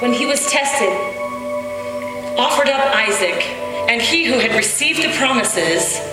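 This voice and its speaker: A woman's voice: on the high side, expressive, unhurried. Female reader, solemn dramatic scripture recitation, cavernous echo, prominent music underneath. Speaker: reader in a readers' theater group. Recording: echoey hall, music behind the voice.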